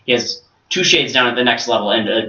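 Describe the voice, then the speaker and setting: Fast-talking bright man, distant mic. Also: mid-range voice. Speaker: podcast co-host. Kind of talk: casual podcast talk. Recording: roomy, distant, dull mic.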